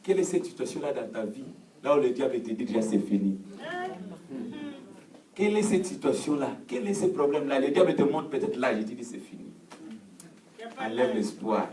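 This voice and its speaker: A man preaching with call and response in a church hall, fervent and repetitive, often shouting. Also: medium pitch, expressive, normal speed, crowd noise. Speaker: pastor. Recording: echoey hall, distant mic, congregation noise. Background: congregation answering.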